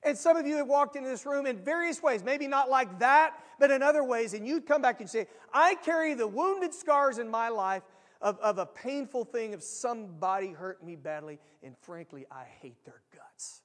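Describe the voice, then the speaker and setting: An American man preaching a sermon to his church, earnest and repetitive, swelling loud on key lines. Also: very high-pitched, lively intonation, normal speed, long reverb tail. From United States. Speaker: pastor. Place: church sanctuary.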